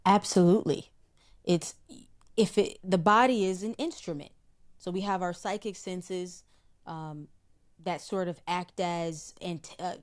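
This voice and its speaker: A middle-aged woman, a psychic guest in an interview, explaining in a measured way and often repeating a word while she finds the next. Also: medium pitch. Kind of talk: spiritual interview talk.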